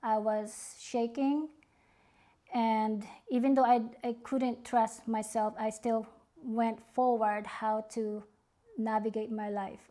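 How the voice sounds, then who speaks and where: A middle-aged woman from the Philippines, a guest on an interview, speaking earnestly and haltingly. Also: on the high side, level tone. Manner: slow, halting, earnest, soft-spoken. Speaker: author and guest. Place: studio.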